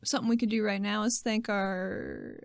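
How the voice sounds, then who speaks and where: A woman's voice: medium pitch, wildly swooping pitch, moderate pace, gravelly, no room sound, clean studio sound. A woman co-hosting a podcast as a player, chatting and improvising roleplay casually.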